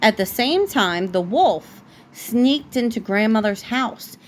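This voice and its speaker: A woman reading a children's bedtime story aloud like a parent, with expressive storytelling. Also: a little high, takes it slowly, a little hiss or hum.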